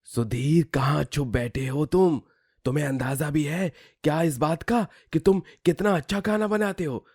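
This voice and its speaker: A man's voice: on the high side, normal speed, a little mellow, breathy edge, dry recording, studio quality. A man playing a grand, effusive master chef who judges a contest, speaking emphatically in a story drama. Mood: admiring. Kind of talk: dramatized Hindi moral story.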